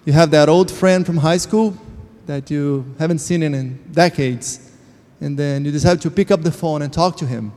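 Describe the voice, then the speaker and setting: A man shares a personal faith testimony, earnest and reflective, slowing down on the words that matter to him. His voice sits slightly high for a man. A long reverb from the church hall trails behind every phrase. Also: darkish tone. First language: Portuguese. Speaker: church member sharing a testimony.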